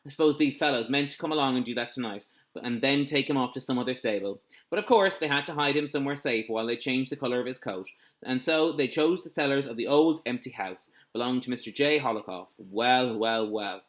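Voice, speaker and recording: A man reading the part of a stern yet approving police inspector in a storybook, measured and emphatic. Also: medium pitch, a bit bright, close to an everyday voice. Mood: pleased, approving.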